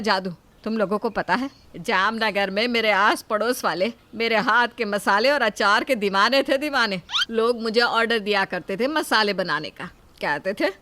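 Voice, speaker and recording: A woman's voice: on the high side, bright, forceful tone. A woman playing a proud, touchy middle-aged mother in a cartoon family comedy, with an insistent, boastful way of talking.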